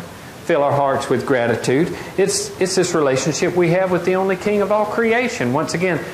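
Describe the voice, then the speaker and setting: A middle-aged man preaching a lively sermon, often asking the congregation questions. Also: a little high. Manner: animated, gestures widely, asks the congregation questions. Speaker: pastor. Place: large wooden open-air church hall. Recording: echoey hall, faint noise.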